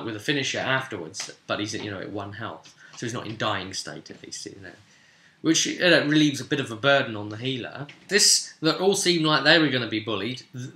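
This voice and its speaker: Male game master, very bright forceful voice, chatty rambling storyteller, roomy echoing recording. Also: fairly low pitch. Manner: rambling, conversational asides. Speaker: game master recapping a tabletop role-playing session. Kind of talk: casual spoken story recap, vlog.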